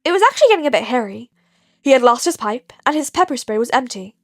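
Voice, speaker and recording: A woman's voice: sits high, sing-song, quick pace, no room sound, studio quality. A woman narrator reading a scripted story expressively.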